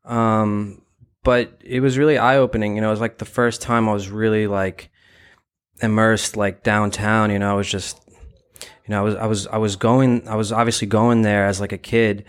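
A man speaking casually as an interview guest, reflective and halting, often padding his sentences with "like" and "you know.". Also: fairly low pitch, level tone, smooth. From Brooklyn, New York; born in Ukraine. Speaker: interview guest, gallery founder.

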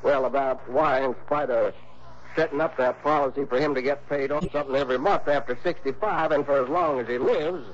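A man's voice: medium pitch, brisk, lightly acted. An actor playing a folksy, crotchety small-town insurance man in an early 1960s radio drama, with a hesitant, exasperated drawl. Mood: confiding. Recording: muffled, noisy old radio broadcast.

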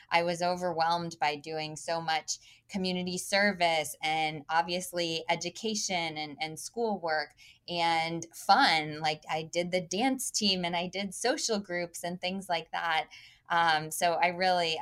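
Woman guest, thirties, from Connecticut, lowish voice, upbeat and quick to laugh, casual interview. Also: a bit bright.

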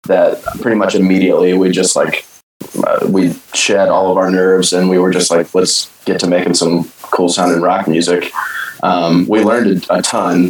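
A deep-voiced man talks easily and enthusiastically about his band. His voice sounds roomy and distant, as if he is far from the mic on a remote call. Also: darkish tone. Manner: easygoing, enthusiastic, fast talker. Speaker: band member, guest. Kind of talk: casual interview answers.

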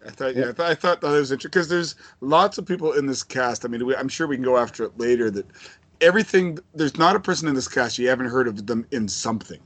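A man's voice: mid-range voice, fast talker, a bit bright, dry recording. A man co-hosting a film podcast in a casual, chatty style. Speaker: podcast co-host. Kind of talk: casual chat.

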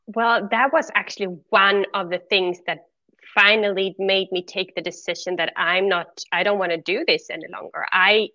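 A middle-aged woman with a Swedish accent talks as a guest in a conversational interview. Her voice is bright and mid-pitched, and her manner is candid and earnest. The sound is close and dry, with no room echo. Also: steady tone, normal speed, dull audio. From Sweden. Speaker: interview guest, former corporate executive.

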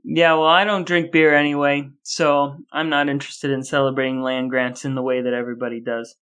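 A man's voice: medium pitch, level tone, fairly quick, a bit bright, clear, resonant tone. A man co-hosting a podcast in a casual but wordy, wry style. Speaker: podcast co-host. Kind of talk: casual chat, scripted podcast outro.

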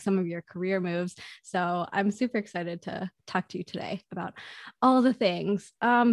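A woman's voice: medium pitch, no room sound. An upbeat young woman hosts a podcast interview and chats easily with her guest. Her voice sits in the middle of the usual range for a woman. She is recorded close and dry, with no room sound around her. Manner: upbeat, chatty. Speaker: podcast host.

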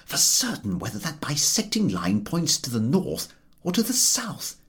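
A man's voice: a little high, wildly swooping pitch, moderate pace, fairly bright tone, voice pushed up. A man voicing a cocksure, easily flustered amateur navigator in a storybook, exclamatory and self-important as he protests. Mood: thoughtful.

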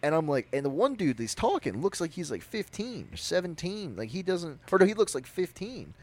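A young man with a naturally loud voice, telling a personal story on a podcast in a rambling, animated way. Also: on the high side, fairly quick. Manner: animated, rambling, with frequent restarts. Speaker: podcast co-host. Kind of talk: casual storytelling.

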